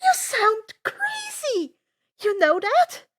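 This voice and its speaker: A woman's voice: very high-pitched, huge pitch swings, very slow, voice pushed up, exaggerated voice. A woman voicing a fierce, worried teenage girl who argues in an urgent, emphatic way. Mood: accusing.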